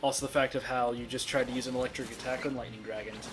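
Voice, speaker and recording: A man's voice: mid-range voice, fairly even intonation, fast, background noise. A man casually commentating on a game, rambling with many fillers and restarts. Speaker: let's play commentator. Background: game music.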